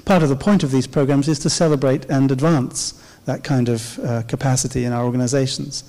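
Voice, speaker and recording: A man's voice: mid-range voice, steady tone, mellow. Man giving a keynote in a reverberant hall. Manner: measured, explanatory, occasional "uh" pauses. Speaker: keynote speaker. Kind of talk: conference keynote talk. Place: large dark auditorium stage. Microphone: handheld microphone.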